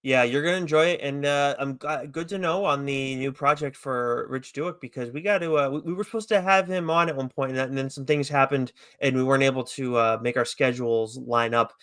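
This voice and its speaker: A man's voice: mid-range voice, brisk, hardly any pauses, a bit bright, clean tone, no room sound, studio quality. A man co-hosting a podcast, chatting casually about comics in a rambling, enthusiastic, loosely profane way. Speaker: podcast co-host reviewing comics. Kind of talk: casual chat review.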